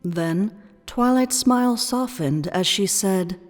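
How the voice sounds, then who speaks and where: A woman narrating a scripted fan fiction story in a measured storybook style. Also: mid-range voice, animated. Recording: long reverb, music behind.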